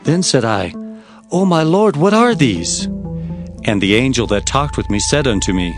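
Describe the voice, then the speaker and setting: Earnest, very expressive prophet. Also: male voice, mid-range voice, moderate pace, music in the background. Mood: awed, narrating. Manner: dramatic, solemn, expressive. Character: earnest male prophet recounting his visions. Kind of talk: dramatized scripture narration.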